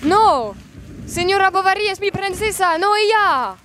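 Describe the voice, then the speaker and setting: Casting: teen girl playing an ardent chivalry-mad dreamer, high voice, student radio play. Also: lively intonation, unhurried, fairly bright tone, slight background noise, heavily acted. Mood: indignant, pleading. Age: teenager, high-school student. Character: Don Quichotte, impassioned male dreamer obsessed with chivalry books. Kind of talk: amateur student audio drama.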